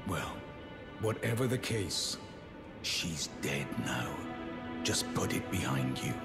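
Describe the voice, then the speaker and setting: A man playing a grave, honourable warrior in a fantasy game, speaking in a deliberate, courtly way. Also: lowish voice. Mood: courteous, sombre. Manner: deliberate, courtly, drops into breathy near-whispers. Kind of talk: dramatic fantasy game acting. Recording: voice under loud background music.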